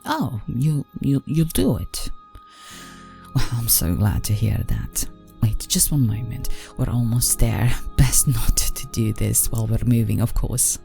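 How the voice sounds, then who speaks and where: A woman voicing a genteel, doting vampire aristocrat in an ASMR roleplay, soft and breathy, coaxing, often sinking into a whisper. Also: extremely low pitch, animated, unhurried, faint music behind, full character voice.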